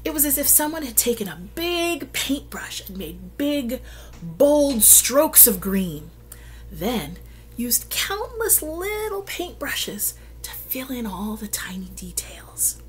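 A middle-aged woman reading a picture book aloud to children, with dramatic pauses and hushed emphasis. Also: fairly high pitch, big pitch swings. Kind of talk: expressive read-aloud for children. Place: indoor room at home. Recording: faint background room noise.

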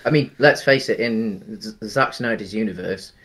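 A man in his thirties with a fairly low voice chats about a film over a home video call. He rambles in an easygoing way and fills his pauses with ums. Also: a bit bright, breathy edge. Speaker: podcast co-host. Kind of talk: casual film-review chat. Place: home room.

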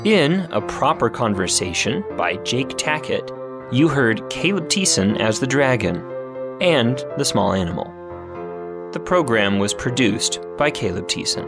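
A man serves as announcer, reading scripted credits. He speaks very slowly with animated, swinging intonation, and music plays clearly underneath. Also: medium pitch. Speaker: announcer and narrator.

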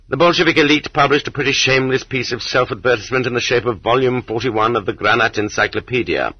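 A man narrating a non-fiction audiobook with measured, sardonic delivery and expressive emphasis, on dull lo-fi audio. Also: on the low side, breathy edge, dry recording.

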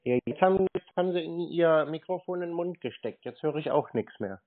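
A man in a phone conversation, answering in a deadpan, obtuse and drily contrary manner. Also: fairly high pitch, a little fast. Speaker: scam baiter playing along on a call. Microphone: telephone. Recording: thin, narrow phone line.

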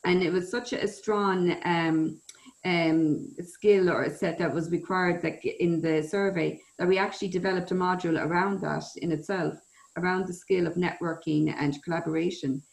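Gravelly low woman moderating, echoey room. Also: fairly even intonation, normal speed. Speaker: host, researcher. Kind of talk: moderating a panel on a video call. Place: room with wooden ceiling, indoors. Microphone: video call webcam. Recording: roomy, echoey webcam sound.

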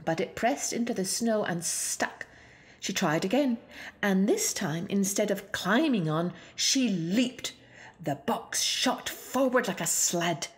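A woman's voice: medium pitch, expressive, slow pace. Woman reading a children's story. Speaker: storybook reader. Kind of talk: expressive children's story narration.

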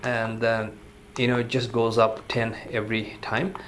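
A man's voice: mid-range voice, unhurried, a little hiss or hum. Easygoing man reviewing a gadget. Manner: relaxed, filler-heavy, says "you know" often. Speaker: product reviewer. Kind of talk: casual hands-on product demonstration. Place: indoor room at a table.

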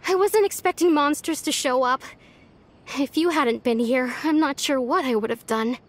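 A woman voicing a polite, earnest young village woman in an anime-style video game, speaking gently. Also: sits high, moderate pace, slight background noise, close to an everyday voice. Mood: grateful, friendly.

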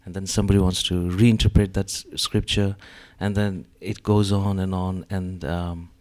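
Hesitant low-voiced man on a gallery panel. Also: level tone, moderate pace, a little mellow. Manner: hesitant, many fillers. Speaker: panel artist. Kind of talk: panel discussion. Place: gallery room with audience. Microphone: handheld mic.

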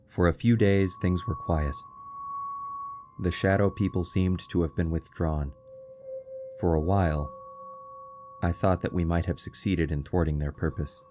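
An actor as a haunted, earnest man telling his story in a radio horror drama. His voice is low and measured, ominous in tone, with music playing underneath. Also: darkish tone. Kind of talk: dramatic first-person radio narration.

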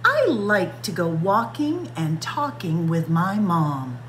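A woman reading a picture book aloud for children at story time, expressive and stretching words to act them out. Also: fairly low pitch, slow, slight background noise. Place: indoors, library room with bookshelves.

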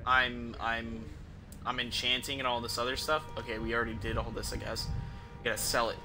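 A man's voice: mid-range voice, flat delivery, fairly quick, music in the background. A young man casually commentating on his game live, rambling and swearing as he thinks aloud. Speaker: game streamer.

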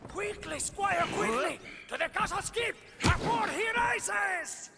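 An actor playing a deluded, lovestruck knight in a cartoon, with grandiose, florid, theatrical delivery. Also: male voice, very high-pitched, slow, creaky, very noisy, full character voice. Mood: triumphant, excited.

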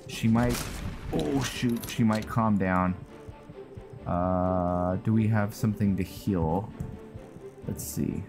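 A man's voice: on the low side, takes it slowly, long pauses. A man doing casual let's play commentary, thinking aloud with mild exclamations as he plays. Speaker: gamer playing and commentating. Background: game music.

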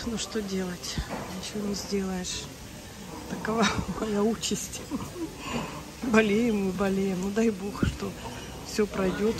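A woman with a cold and a hoarse voice, chatting casually to viewers as she films a hotel buffet on her phone. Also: medium pitch, heavy background noise. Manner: chatty, talks to viewers while walking a buffet. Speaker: vlogger. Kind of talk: casual vlog narration. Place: hotel restaurant buffet, indoors.

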